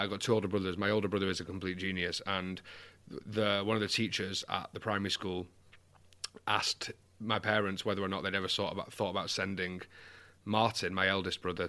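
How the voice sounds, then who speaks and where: A man's voice: sits low, sharp tone. A young man with a Mancunian accent, speaking candidly and with self-deprecating humor as an interview guest over a video call. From England. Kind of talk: conversational interview.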